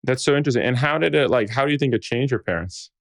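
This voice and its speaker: A man's voice: medium pitch, lively intonation, rapid-fire. A man hosting a podcast, asking curious, casual follow-up questions. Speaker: podcast host.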